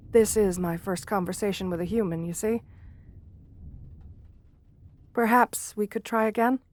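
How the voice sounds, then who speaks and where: A shy gargoyle woman, played by a female performer for a fantasy ASMR roleplay. She speaks at a medium pitch in formal, bookish phrases, gentle and polite. Her bashful hesitations give away a lonely, romantic temper. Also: no echo, natural voice. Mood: polite, curious. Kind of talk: ASMR fantasy roleplay, intimate one-sided dialogue.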